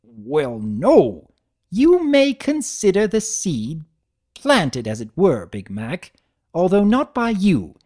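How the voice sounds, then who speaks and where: A prim, dramatic female unicorn seamstress, as a woman reader puts her on. Her voice climbs high and swoops widely as she lays emphasis on every refined phrase. It is a storybook character voice with lively, sweeping inflection. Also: slow, heavily acted. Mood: indignant. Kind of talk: dramatic audiobook reading.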